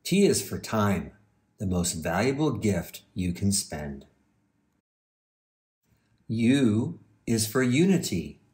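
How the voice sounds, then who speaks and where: A man reading a children's storybook aloud with measured, deliberate phrasing. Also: on the low side, very animated, a little mellow. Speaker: university president, guest reader.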